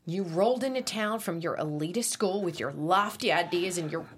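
A woman's voice: lowish voice, moderate pace, a little hiss or hum, lightly acted. An actress playing a hurt, bitter middle-aged mother who lashes out with sarcastic accusations. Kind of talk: table read.

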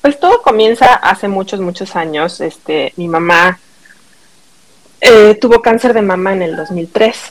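A woman's voice: medium pitch, animated, a little slow, rough recording. A middle-aged Mexican woman, a podcast guest telling a personal story in casual conversation, acting out what people said.

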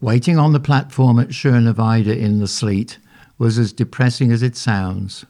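A man's voice: medium pitch, fairly even intonation, moderate pace, warm tone. A man reading his own short story aloud in a dry, wry narrating manner.

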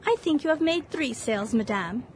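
Effusive, high-voiced Victorian aunt. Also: female voice, expressive, fairly quick. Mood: gracious. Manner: effusive, gracious. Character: sociable, fashion-loving Victorian aunt, cheerful titled lady. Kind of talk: period audio drama acting. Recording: noisy background ambience.

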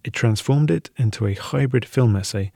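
A man's voice: mid-range voice, normal speed, gravelly, dark tone, breathy edge, dry recording, clean studio sound. A man reading scripted documentary narration as the narrator.

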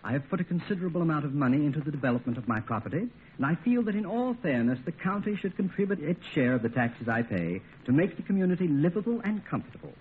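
An actor playing a smug, unflappable lawyer and family friend in a 1950s radio comedy, wry and teasing. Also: male voice, a little high, big pitch swings, quick pace, hardly any pauses. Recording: tinny, noisy 1950s radio recording.

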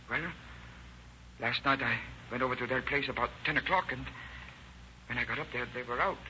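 An actor playing a nervous, evasive Swiss suspect in an old radio crime drama, halting and defensive in stiff, careful phrasing. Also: male voice, medium pitch, bright, forceful tone. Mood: confessing. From Switzerland. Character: nervous, evasive Swiss murder suspect, cracking under pressure. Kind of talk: 1930s-1940s radio crime drama acting. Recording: dull, noisy old radio recording.

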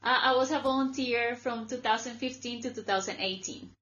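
Guest: Colombian-born woman, 30s, Spanish native, chatty and rambling, higher pitch, muffled lo-fi audio. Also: fairly quick, fairly bright tone. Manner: chatty, many "like" fillers. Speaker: guest, former volunteer. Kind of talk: casual interview storytelling.

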